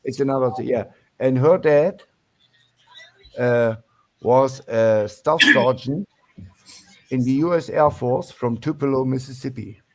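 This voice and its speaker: An older German man calling in to a sports show, speaking English with a German accent in halting, restarting phrases. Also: mid-range voice, low-quality recording. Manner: halting, many restarts and fillers. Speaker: caller. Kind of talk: casual call-in chat.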